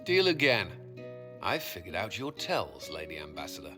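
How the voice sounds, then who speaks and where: A man playing a dutiful military commander with dry humour in a video game, talking in a measured, formal way. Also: deep voice, huge pitch swings, music in the background. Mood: competitive, stubborn. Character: dutiful, dryly humorous male military commander. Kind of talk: video game cutscene acting.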